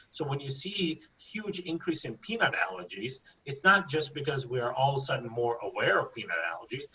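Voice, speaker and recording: A man's voice: medium pitch, bright, forceful tone, far from the mic, muffled recording. A man from India, a microbiologist guest, explaining at length in an interview and often tagging sentences with "right?". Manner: explanatory, asks rhetorical "right?". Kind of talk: interview answers.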